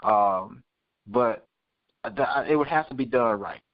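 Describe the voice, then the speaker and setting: A man's voice: mid-range voice, takes it slowly, no echo, lo-fi sound. A man hosting a podcast, talking casually with his co-hosts and listeners. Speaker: podcast host. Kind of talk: casual podcast chat and sign-off.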